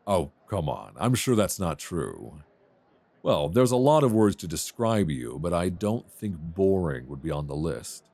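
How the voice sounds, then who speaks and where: A man acts out a low-voiced, flirtatious male traveler in an intimate romance roleplay. His deep voice moves with lively pitch swings as he rambles, hesitates and pokes fun at himself. The sound is close and dry, recorded on a binaural mic. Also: no room sound, full character voice. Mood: friendly, teasing. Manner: rambling, hesitant, self-deprecating, chuckling. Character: chatty, flustered, warmly flirtatious male airline passenger. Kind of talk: romance ASMR roleplay audio drama. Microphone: close binaural microphone.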